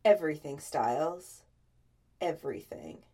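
A woman voicing a smug middle-aged sheriff father in a story, deliberate, offhand and authoritative. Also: deep voice, expressive, dark tone, husky, pitched below the performer's own voice, full character voice.

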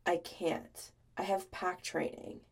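A woman voicing a gruff, curt young male pack leader in a story, abrupt and measured. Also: fairly low pitch, level tone, heavily acted. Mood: guarded.

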